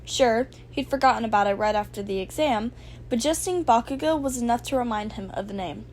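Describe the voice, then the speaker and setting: A woman reading fan fiction aloud as an amateur narrator, in an expressive storytelling style. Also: fairly high pitch, fairly quick. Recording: faint background noise.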